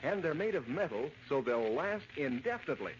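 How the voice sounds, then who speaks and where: Lively American pitchman on muffled old radio. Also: brisk. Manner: folksy, enthusiastic, emphatic. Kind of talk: 1940s radio advertising, acted chat and sales pitch. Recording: muffled, noisy vintage radio recording.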